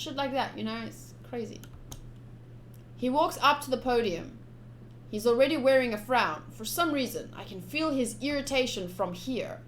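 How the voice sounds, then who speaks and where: Slightly high-voiced young woman streamer. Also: fairly bright tone, a little hiss or hum. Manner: informal, chatty. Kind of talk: casual playthrough commentary and reading aloud.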